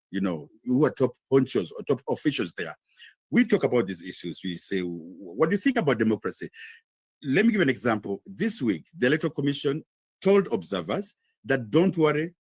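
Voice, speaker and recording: A man's voice: mid-range voice, husky, no echo. A man from Uganda reporting live as a correspondent in a news interview, explaining at length with frequent fillers.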